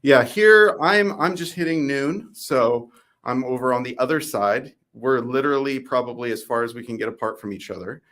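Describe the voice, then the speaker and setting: A man's voice: mid-range voice, normal speed, a bit bright. A man hosting a video call interview show, introducing his guest in an enthusiastic, loose way. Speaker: host.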